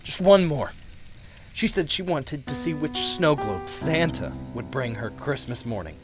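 A man playing a hesitant, guilt-ridden widowed husband confiding in an audio drama, with halting delivery. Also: a little high, big pitch swings. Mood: grieving, subdued. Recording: background music under the voice.

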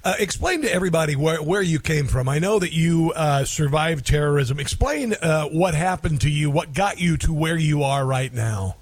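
Halting male radio host, dry studio sound. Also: fairly high pitch, animated, husky, no echo. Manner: halting, many fillers and restarts. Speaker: radio talk show host. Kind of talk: radio call-in interview.

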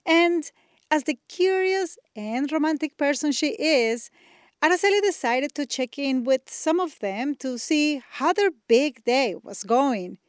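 A woman's voice: sits high, lively intonation, measured pace, no echo. A woman hosting a radio show, reading a scripted introduction to a story.

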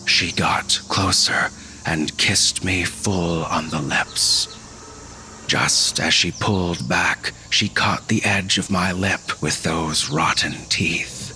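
A man playing a haunted husband who narrates a supernatural horror story in a grave, suspenseful, deliberate way. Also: low voice, steady tone, pushed and bright, slight background noise, exaggerated voice. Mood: horrified. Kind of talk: dramatic scripted narration. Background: music.

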